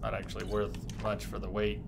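A man's voice: fairly low pitch, monotone, fairly quick, vocal fry, background noise. A man in his thirties giving casual live commentary as he streams a video game. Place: indoor streaming setup. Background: game music.

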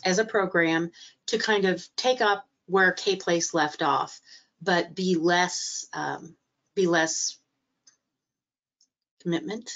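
A low-pitched middle-aged woman talking over a video call, her voice a bit stuffy from a cold. She hesitates often, circling back with fillers and restarts. The sound is muffled and dull. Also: steady tone, takes it slowly, pauses often, fairly bright tone. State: recovering from a cold, a little stuffy. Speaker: guest speaker, library trainer. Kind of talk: webinar talk.

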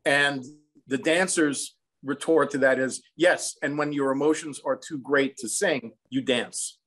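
An American man in his sixties talks as a guest on a video call. His pitch is average, and he tells his stories conversationally, in a measured and reflective manner. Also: a bit bright. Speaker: songwriter, interview guest. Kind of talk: conversational storytelling. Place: room at home, indoors.

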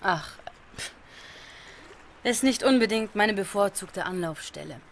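An actress playing a reserved, inquisitive investigator in a radio crime drama, composed and questioning. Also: female voice, medium pitch, expressive, measured pace, a little hiss or hum.

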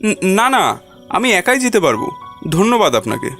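A man voicing an uneasy, curious government employee newly arrived in a horror cartoon, with animated, jittery delivery. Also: high voice, a little fast, faint music behind, exaggerated voice. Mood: startled. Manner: animated, talks to himself, stammers when startled. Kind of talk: horror cartoon voice acting and first-person narration.

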